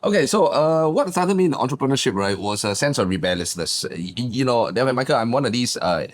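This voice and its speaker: A man, a guest business coach telling his backstory in a lively, emphatic way. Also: fairly quick. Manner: animated, emphatic, swings pitch up for punchlines. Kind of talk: casual interview storytelling.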